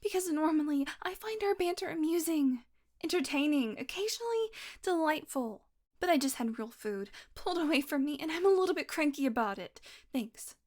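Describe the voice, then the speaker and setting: Casting: actress as cranky, sarcastic vampire woman for audio roleplay; high register, sweary, teasing. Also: animated, a little fast, a little mellow. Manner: sardonic, foul-mouthed, teasing with pet names. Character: hungry, irritable, sarcastic female vampire on a road trip. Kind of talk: audio roleplay acting, one-sided dialogue.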